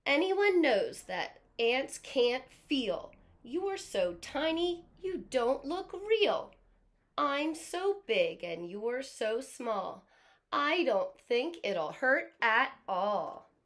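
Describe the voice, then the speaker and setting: A woman's voice: high voice, sing-song, very slow, pitched above the performer's own voice, exaggerated voice. A woman voicing a big, bossy, scornful young boy from a storybook, declaiming rhymes emphatically.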